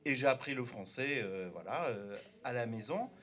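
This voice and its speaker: Man from Turkey, native Turkish; unscripted debate remark, halting, filler-heavy, mid-range voice. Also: medium pitch, lively intonation, fairly bright tone. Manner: hesitant, lots of fillers and restarts. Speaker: audience member speaking up.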